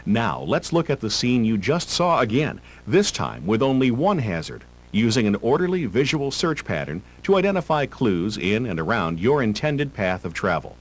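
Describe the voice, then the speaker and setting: A man reading scripted driver-education narration in a measured, instructional manner. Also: medium pitch, sing-song, low-quality recording. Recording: hum and hiss of old tape.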